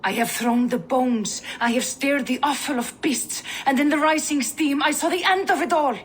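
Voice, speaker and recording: An actress playing an ominous female seer in a video game, speaking slowly and intensely, sometimes dropping to a whisper. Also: high-pitched, slight background noise, close to an everyday voice. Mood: foreboding. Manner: slow, intense prophetic delivery, dropping to whispers. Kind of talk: video game cutscene acting.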